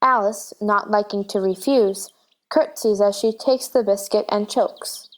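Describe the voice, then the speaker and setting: A woman's voice: medium pitch, fairly even intonation, a little slow. A woman narrator reading stage directions in scripted narration for a play.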